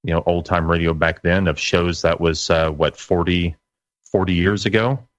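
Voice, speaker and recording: A man in his late forties talking casually as an interview guest, in a halting, folksy way. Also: deep voice, fairly even intonation, dry recording, highs cut off. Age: late 40s. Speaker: guest, internet radio broadcaster.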